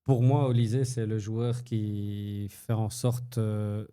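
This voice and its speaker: Brief: man co-host, warm mellow tone, insistent explainer, casual football debate. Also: fairly low pitch, little pitch movement, slow pace, smooth. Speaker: podcast co-host.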